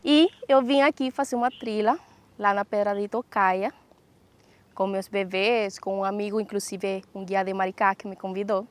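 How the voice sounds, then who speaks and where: A woman recounting her move in an animated, enthusiastic way in a documentary interview. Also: medium pitch, moderate pace, slight background noise.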